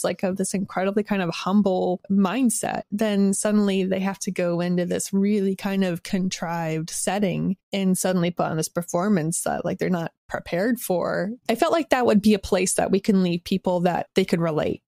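A woman's voice: mid-range voice, gravelly, a little mellow, no room sound. A woman talking casually as an interview guest, informal and self-deprecating, often saying "like" and "kind of". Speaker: interview guest, audio drama writer.

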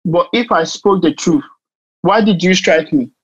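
A woman playing a calm, unyielding preacher on trial in an audio play, measured and declarative. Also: fairly low pitch, husky, highs cut off. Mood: calm, firm. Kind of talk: dramatic audio play acting.

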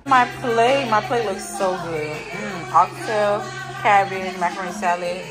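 A woman's voice: medium pitch, unhurried, over music. A young woman vlogger chatting casually and informally to camera in slangy speech, indoors on a handheld phone. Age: young adult. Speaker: travel vlogger. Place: indoors in villa rooms. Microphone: phone at arm's length. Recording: noisy handheld vlog audio.

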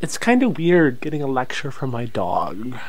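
A man playing an excitable, skeptical joker on an adventure in an audio drama, talking casually and incredulously. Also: medium pitch, moderate pace. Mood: incredulous, joking. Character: excitable, skeptical, joking young guy on an adventure.

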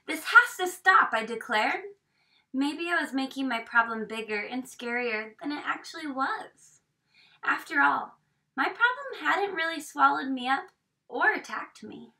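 A woman in her thirties reading a picture book aloud expressively, with dramatic hushed emphasis, recorded from a camera across a small room. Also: high-pitched, animated, measured pace, creaky. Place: small indoor room with bookshelves. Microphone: camera a few metres away. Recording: distant microphone in a room.

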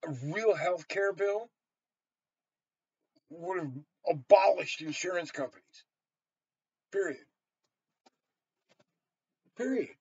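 An American man in his sixties talks in a somewhat high voice, rambling bluntly and emphatically with plenty of swearing. The sound is dry and a bit dull, like a webcam in a small room. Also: slow pace, long pauses, slightly rough, no echo. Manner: blunt, heavily profane, emphatic. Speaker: storyteller and vlogger. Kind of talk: rambling opinionated monologue to camera.

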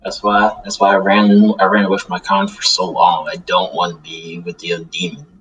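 A man's voice: low-pitched, monotone, fairly quick, a bit bright, slight background noise, rough recording. A man playing an insecure, earnest good demon in an improvised game roleplay, hesitant and often repeating himself. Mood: troubled. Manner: hesitant, stammering, repeats phrases. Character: insecure, earnest good demon shunning his evil kind. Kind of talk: improvised Minecraft anime roleplay. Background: music.

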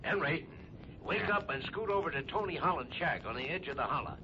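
High-pitched bossy doctor, filtered call. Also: male voice, expressive, a little fast. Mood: urgent. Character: urgent, bossy male doctor calling in. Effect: radio or phone filter. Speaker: radio drama actor. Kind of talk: 1950s radio acting. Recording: dull, noisy old radio recording.

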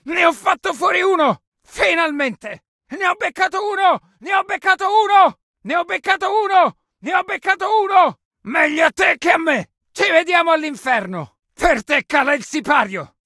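Aggressive soldier in a war game, yelling urgent barks, bright and dry. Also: male voice, very high-pitched, animated, moderate pace, few pauses, dry recording, heavily acted. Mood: vicious, defiant. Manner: urgent, forceful, exclamatory. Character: aggressive Italian infantryman in the heat of battle. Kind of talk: shouted video game combat barks.

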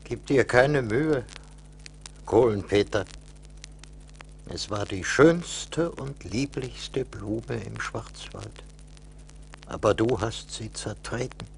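An actor playing a stern, wrathful forest spirit in a 1980s radio play, solemn and declamatory. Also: male voice, mid-range voice, slow, gravelly, pauses often, a little hiss or hum, rough recording. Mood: grave, sorrowful.

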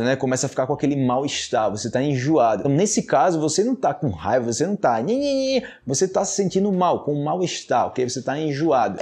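A man in his thirties with a Brazilian Portuguese accent, teaching vocabulary to camera in a lively, gesturing way, recorded indoors on a small clip-on mic. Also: fairly high pitch, slightly rough. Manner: animated, gestures constantly, acts out example phrases. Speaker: language teacher. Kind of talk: energetic video lesson to camera. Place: small indoor home studio. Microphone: small mic clipped to shirt.

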